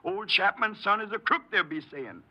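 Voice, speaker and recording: High-pitched, preachy old American father. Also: expressive, normal speed. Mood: bitter, reproachful. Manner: preachy, reproachful, declamatory. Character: stern, self-righteous older father forever quoting scripture. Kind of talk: 1950s American radio drama acting. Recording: dull lo-fi old radio broadcast.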